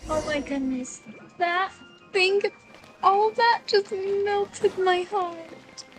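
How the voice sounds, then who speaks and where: A woman's voice: very high-pitched, very slow, faint music behind. A woman giving casual gameplay commentary as a game streamer, in a gushing, sentimental manner.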